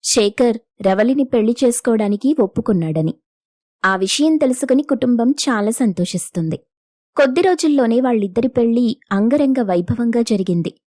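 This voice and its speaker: A woman's voice: fairly high pitch, mellow, no echo, clean studio sound. A woman narrating a scripted moral story as the storyteller.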